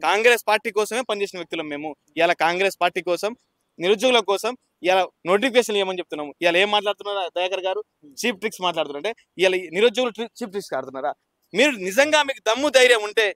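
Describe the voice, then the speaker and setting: A man's voice: high-pitched, a bit bright, no echo. A young man with a Telangana Telugu accent, an activist arguing insistently and challengingly, often repeating himself. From Nalgonda district, Telangana, India. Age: young adult. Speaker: activist for unemployed job seekers. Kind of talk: heated argument and appeal.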